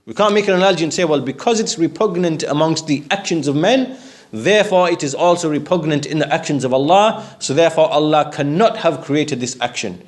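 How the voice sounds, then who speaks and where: A man's voice: fairly high pitch, fairly quick. A man teaching in an explanatory religious lecture, speaking didactically and checking that listeners follow with questions.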